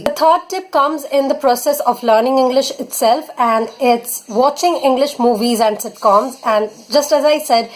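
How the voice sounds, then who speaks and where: A young Indian woman with an Indian accent, an English tutor giving earnest spoken tips in a vlog lesson. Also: high-pitched. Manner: earnest, advising, leans on fillers like "basically" and "honestly".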